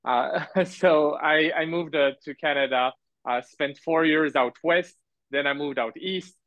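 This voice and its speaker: A man's voice: fairly high pitch, a bit bright, no room sound. Man from France, rambling, dull dry audio. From grew up in France. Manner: halting, rambling with asides. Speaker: podcast guest, business owner. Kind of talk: casual interview storytelling. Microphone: remote call.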